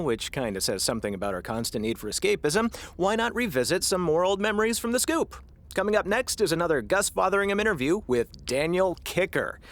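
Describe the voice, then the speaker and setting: An actor playing a wry, easygoing radio DJ in a comedy audio drama, speaking casually. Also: male voice, high voice. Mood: upbeat, announcing.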